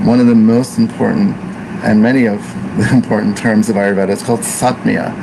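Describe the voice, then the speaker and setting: Man, low voice, relaxed health teacher, thinking aloud with restarts; hum of air conditioning behind. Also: gravelly. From America. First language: Italian. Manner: unhurried, reflective, with fillers and restarts. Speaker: Ayurveda practitioner. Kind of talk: informal teaching talk.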